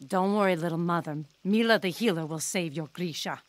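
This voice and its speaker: An actress playing a caring, devoted household attendant in an audio drama, soothing and admiring. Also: female voice, lowish voice, fairly quick, close to an everyday voice. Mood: admiring, reassuring.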